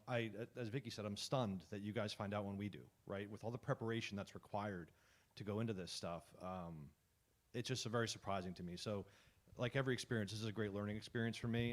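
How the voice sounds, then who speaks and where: A middle-aged man running for local office, speaking appreciatively and chattily to a panel. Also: fairly low pitch. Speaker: local candidate. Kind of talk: casual remarks to a panel.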